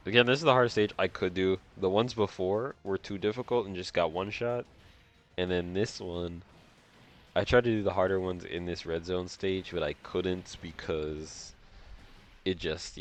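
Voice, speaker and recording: Male game streamer, deep voice, audibly congested, casual and slangy, mutters phrases over again while playing. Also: steady tone, moderate pace. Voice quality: congested, stuffy nose. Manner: slangy, repeats phrases to himself. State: nasally congested, breathing through mouth. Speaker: gaming video host. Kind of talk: casual live gameplay commentary.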